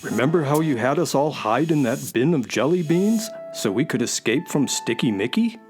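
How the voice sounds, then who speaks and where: Guy doing a talking space horse sidekick, swoopy pitch, wry and blunt, kids radio show, faint music. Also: expressive, normal speed, a little mellow, lightly acted. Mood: wry, prodding. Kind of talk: children's radio play acting.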